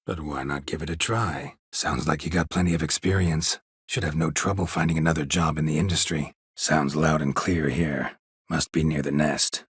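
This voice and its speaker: A world-weary video game monster hunter with an extremely low, husky voice. He speaks in terse, deadpan lines, stoic and dryly sardonic, recorded close and dry with no room around him. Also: normal speed, no room sound, exaggerated voice. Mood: matter-of-fact. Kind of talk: video game character dialogue.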